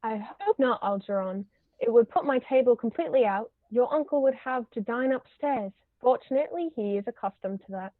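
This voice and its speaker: A woman's voice: on the high side, a little fast, no room sound, phone-quality sound, close to an everyday voice. A woman voicing an imperious older aristocratic aunt, stately and haughty, in a table read of a stage comedy over thin call audio. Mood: condescending.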